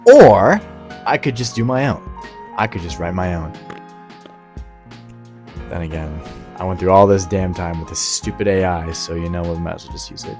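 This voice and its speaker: A man's voice: deep voice, lively intonation, normal speed, long pauses, music in the background. A man hosting with casual, self-mocking commentary, irreverent and sweary. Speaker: host.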